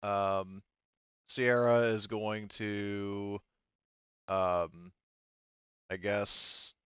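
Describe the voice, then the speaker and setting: Man, game master, low clean voice, casual, hesitant narration, close and dull lo-fi sound. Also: level tone, very slow, fairly bright tone, clean tone, dry recording.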